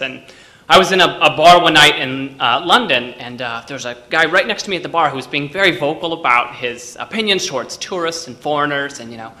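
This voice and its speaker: A man's voice: medium pitch, pushed and bright. A man from Texas telling a wry, self-deprecating story to a live audience, chatty and loose, with plenty of ums. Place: museum event in front of an audience.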